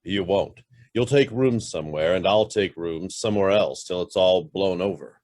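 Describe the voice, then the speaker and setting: A man's voice: low voice, normal speed, a little mellow, no room sound, lightly acted. A man playing a curt, wary fugitive master who gives his valet clipped orders in a radio play. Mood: curt, guarded. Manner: clipped, commanding questions. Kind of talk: amateur radio play acting.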